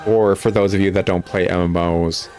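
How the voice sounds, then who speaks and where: A man with a fairly low voice talks casually through a video game as he plays. His manner is sarcastic and exasperated, rambling from one complaint to the next like a critic hosting his own show. Music plays clearly behind him. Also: fairly even intonation, normal speed, darkish tone. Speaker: gaming video host, critic.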